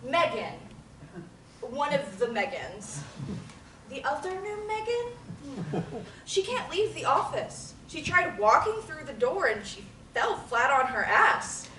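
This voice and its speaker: Actress reading high, lively, sarcastic young patient, roomy distant mic. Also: female voice, slow pace, heavily acted. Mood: angry, mocking. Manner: confrontational, sarcastic. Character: brash, foul-mouthed young woman therapy patient. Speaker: table-read actor. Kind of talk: script table reading.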